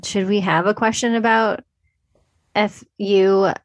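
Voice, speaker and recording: A woman's voice: mid-range voice, steady tone, slow, highs cut off. A woman co-hosting a podcast, chatting in an informal, giggly and self-deprecating way. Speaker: podcast co-host. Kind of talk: casual chat.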